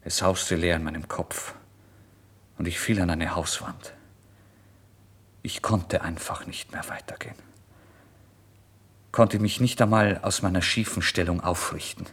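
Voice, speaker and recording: A man giving a dramatic first-person literary narration, intense and theatrical, moving between hushed and loud delivery. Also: low-pitched, fairly even intonation, unhurried, pauses often, husky.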